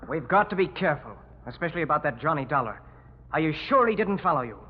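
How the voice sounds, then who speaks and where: Here is a furtive young schemer from old crime radio. He speaks fast and nervously in a highish, husky voice. The sound is tinny with a long echo. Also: animated. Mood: anxious, furtive. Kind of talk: 1940s-50s radio crime acting. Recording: tinny, echoing old recording, faint music.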